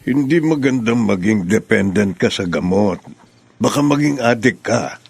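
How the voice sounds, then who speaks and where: An actor playing a patient, reassuring middle-aged father in a Filipino radio drama, with a gravelly, husky voice. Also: on the low side, lively intonation, a little slow, no echo, heavily acted. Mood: gentle, reassuring.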